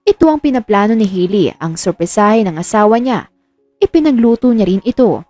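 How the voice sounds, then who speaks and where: A woman's voice: mid-range voice, expressive, fairly quick, warm tone, no echo, soft background music. A woman storyteller reading a scripted romance story in narration.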